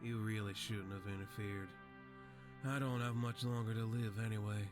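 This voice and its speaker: A man playing a frail, sickly old veteran in a video game, weary, resigned and gentle. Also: lowish voice, fairly even intonation, normal speed, natural voice. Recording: game music behind the voice.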